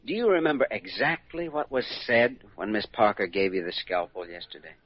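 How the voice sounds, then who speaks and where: An actor playing an earnest, patient, thoughtful younger hospital doctor in a 1940s-style radio drama. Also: male voice, lowish voice, sing-song, brisk. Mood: patient, friendly. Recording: dull, lo-fi vintage radio recording.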